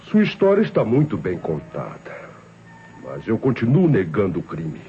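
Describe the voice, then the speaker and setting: An actor playing a cunning married murder suspect in an old Brazilian radio drama, with theatrical, measured delivery. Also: male voice, low-pitched, wildly swooping pitch, heavily acted. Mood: smug, defiant. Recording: dull vintage radio recording.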